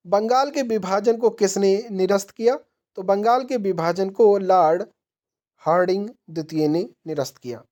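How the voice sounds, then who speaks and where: Lady doing exam prep q and a, mellow warm tone, middle pitch, methodical, asks then answers. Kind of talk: question-and-answer quiz lesson narration.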